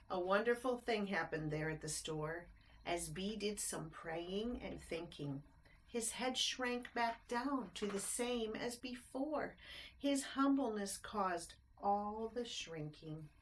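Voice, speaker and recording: A woman reading a rhyming picture book aloud to children, expressive, with chatty explanatory asides and simple questions for the listeners. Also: mid-range voice, slight background noise, poor audio.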